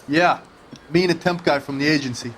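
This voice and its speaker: A man's voice: a little high, a little fast, a bit bright, slight background noise, close to an everyday voice. An actor playing a world-weary male bartender in a 1940s detective video game, talking in a casual, slangy way. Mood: obliging, casual. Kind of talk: scripted dramatic game acting.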